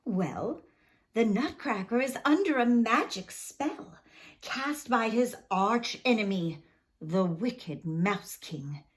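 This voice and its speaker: A woman voicing a kindly female ballet teacher in a children's storybook, expressive and inviting. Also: fairly high pitch, takes it slowly. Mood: explaining. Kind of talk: children's storybook read-aloud.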